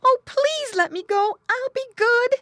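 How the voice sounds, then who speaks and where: A frightened little boy pig from a children's storybook, acted by a woman. The voice is very high, thin and squealing, bright and always pleading. It swoops about with plenty of movement. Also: animated, measured pace, no room sound, clean studio sound, heavily acted.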